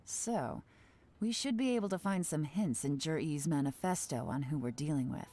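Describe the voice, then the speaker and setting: A woman playing a shrewd, composed investigator in a video game, speaking in a measured, confident, analytical way. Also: sits low. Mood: composed, resolute. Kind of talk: English video game voice acting. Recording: soft game music behind.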